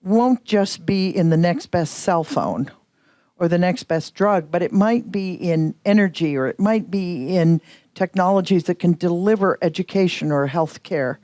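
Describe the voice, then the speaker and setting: A woman's voice: lowish voice, measured pace, mellow, no echo, clean studio sound. A middle-aged woman from the United States, a professor speaking candidly on a conference panel and often restarting her sentences. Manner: candid, restarts and self-corrections. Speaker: professor and mentor. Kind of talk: panel discussion.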